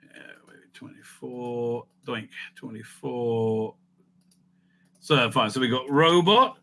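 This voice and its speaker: A man's voice: mid-range voice, takes it slowly, sharp tone, slight background noise. A man giving a casual, rambling fan review as host, blunt, opinionated and sweary, often repeating phrases for emphasis.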